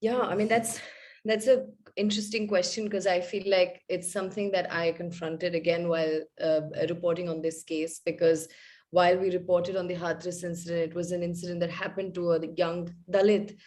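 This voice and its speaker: A woman's voice: fairly low pitch, little pitch movement, a little mellow. Thoughtful woman journalist on a video call. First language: not Hindi. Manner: thoughtful, halting, with many fillers. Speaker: journalist and podcast maker. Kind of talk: panel discussion on a video call.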